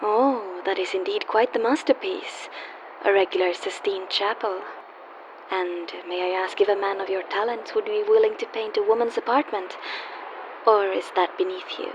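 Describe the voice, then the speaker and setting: A woman's voice: medium pitch, fairly even intonation, moderate pace, lightly acted. A woman playing a wry, world-weary young call girl in a radio play, teasing yet guarded and wistful. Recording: dull lo-fi audio with faint hiss.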